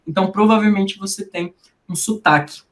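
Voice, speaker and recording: A woman's voice: mid-range voice, normal speed. Brasília-accented woman, researcher type, explains things step by step, keeps checking in with the listener. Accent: Brasília Portuguese. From Brasília, Brazil. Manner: didactic, peppers sentences with tag questions. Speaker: linguistics researcher. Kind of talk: explanatory conversational interview.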